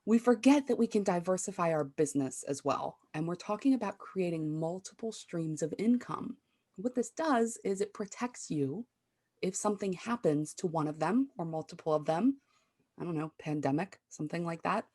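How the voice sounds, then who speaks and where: A woman's voice: fairly low pitch, expressive, moderate pace, a little mellow. A woman co-hosting a marketing podcast, opening the episode and introducing her guest in an upbeat, chatty way. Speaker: podcast co-host. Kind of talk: scripted podcast intro and interview.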